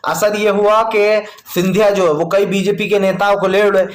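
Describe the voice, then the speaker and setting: Male online news presenter, high register, emphatic colloquial delivery, opinionated, echoey room sound. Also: flat delivery, normal speed. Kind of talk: opinionated news narration, addressing viewers as friends.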